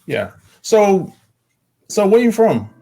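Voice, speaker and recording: A man hosting an interview podcast, asking questions in an unhurried, conversational way. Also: sits high, big pitch swings, dark tone. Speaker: podcast host.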